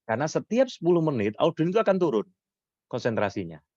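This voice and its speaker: A hoarse man, lecturing on public speaking and answering questions, lifting his voice high when he stresses points. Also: sing-song, fast, darkish tone, dry recording. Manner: rising pitch when stressing points, mixes Javanese words. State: hoarse from illness. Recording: dull lo-fi sound.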